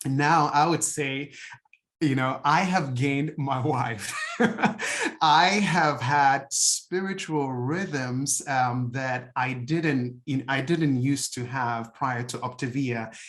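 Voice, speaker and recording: Earnest Nigerian man, halting testimony. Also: medium pitch, fairly bright tone. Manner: earnest, halting with many fillers. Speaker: outreach pastor and health coach. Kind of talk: personal testimony.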